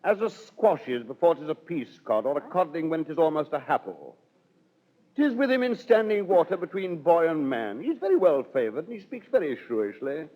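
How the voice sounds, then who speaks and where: An actor playing a pompous, fussy, disdainful steward in a 1930s radio Shakespeare play. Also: male voice, on the high side, very animated, moderate pace. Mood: fussy, exasperated. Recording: muffled 1930s radio broadcast.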